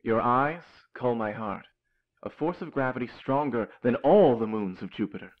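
A man's voice: medium pitch, lively intonation, moderate pace, phone-quality sound, natural voice. A man playing a flighty young artist boyfriend in an audio drama, florid and dramatic in manner. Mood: grandly romantic. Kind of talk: modern audio drama acting.

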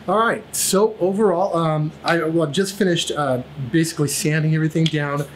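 A man's voice: fairly high pitch, moderate pace. Man, 30s, woodworking vlog host, easygoing shop-floor rambler, faint background hiss. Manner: relaxed, rambling with many fillers and restarts. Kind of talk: casual workshop vlog. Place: cluttered home woodworking shop, indoors. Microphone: camera at a few feet.

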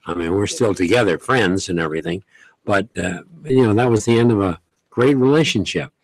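An older man, a veteran radio host, reminiscing in an unhurried, folksy way in a radio studio at a studio mic. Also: fairly low pitch, poor audio. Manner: unhurried, folksy, many pauses and "uh"s. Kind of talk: interview, reminiscing. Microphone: studio mic, headset.

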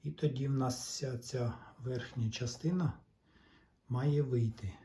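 A man giving a casual hands-on repair walkthrough, halting and thinking aloud in short phrases. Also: mid-range voice, dark tone, slight background noise. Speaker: hobbyist repairer giving a demonstration.

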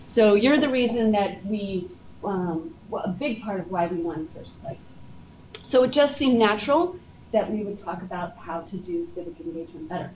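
A woman's voice: mid-range voice, lively intonation, normal speed, a little mellow, a little hiss or hum. A woman hosting a workplace communications workshop, chatty and upbeat, recorded from across a meeting room. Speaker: workshop host. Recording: far-off roomy lo-fi meeting recording.

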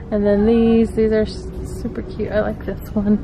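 Slow, chatty woman browsing a decor shop. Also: medium pitch, little pitch movement, noisy background. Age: middle-aged. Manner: chatty, points out prices and cute items. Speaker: vlogger. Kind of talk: shop-with-me vlog. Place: home decor store, indoors. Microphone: handheld camera. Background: background music.

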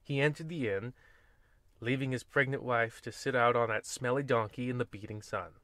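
A man's voice: mid-range voice, fairly even intonation, moderate pace, sharp tone, no room sound, studio quality. A man narrating in the style of an old-time horror radio host, played for comedy, with rambling, folksy and wry asides. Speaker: host and storyteller of a radio anthology.

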